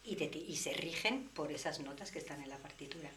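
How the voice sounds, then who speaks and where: A woman singer, guest in an interview, explaining her art at length in a relaxed, reflective way. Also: low-pitched, a little fast, background noise. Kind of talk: relaxed conversation.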